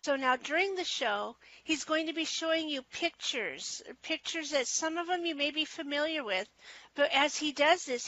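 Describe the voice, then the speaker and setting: Bright high-voiced woman co-host. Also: no room sound, muffled recording. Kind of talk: spiritual talk show chat.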